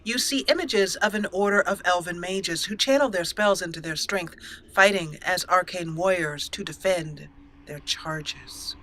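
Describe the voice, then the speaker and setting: Bright-voiced woman narrating a roleplay game. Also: lowish voice, unhurried, slight background noise. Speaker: let's play host.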